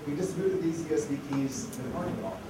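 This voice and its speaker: A man's voice: on the high side, fast talker. A man giving a casual conference talk full of fillers and restarts, while losing his voice. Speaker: conference speaker. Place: conference hall. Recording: echoey hall, far mic, noisy.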